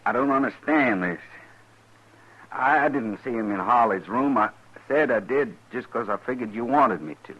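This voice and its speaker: A man's voice: medium pitch, lively intonation, moderate pace, slightly rough. An actor playing a humble, puzzled frontier man in a 1950s radio western, folksy, hesitant and deferential. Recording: muffled 1950s radio recording.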